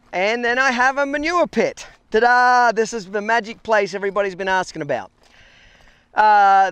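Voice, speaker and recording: A man's voice: sits high, fairly bright tone, clear, resonant tone, dry recording. A man in his forties talking casually and crudely as he hosts a walk-and-talk vlog outdoors. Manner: crude, joking, swearing freely. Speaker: vlog host, horse trainer. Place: outdoors at a barn yard. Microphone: handheld camera at arm's length.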